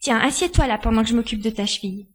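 Woman as a high-voiced helpful young girl. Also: quick pace, husky. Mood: matter-of-fact. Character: Chara, helpful young girl, plain-spoken. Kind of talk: French audio drama acting.

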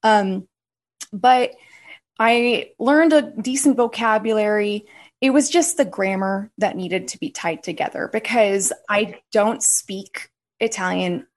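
A woman's voice: mid-range voice, slow. Chatty young American woman. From United States. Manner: chatty and expressive, laughs often. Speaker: guest. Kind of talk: casual interview chat.

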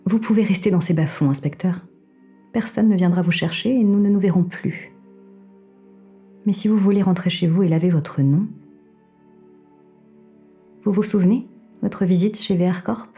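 A woman acts as a devoted female artificial intelligence in an audio drama. Her voice is dark, soft and on the low side, with polite, intimate delivery over faint music. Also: moderate pace, pauses often, natural voice. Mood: grave, persuasive. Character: female AI assistant in a robot body, devoted, confessional. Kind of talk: French audio drama acting, intimate dialogue.

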